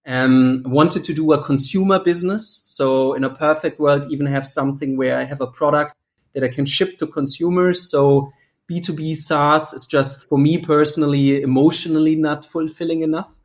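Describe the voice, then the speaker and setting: A man's voice: a little high, fairly even intonation, normal speed. German-accented founder telling his story. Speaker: startup founder and guest. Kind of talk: podcast interview.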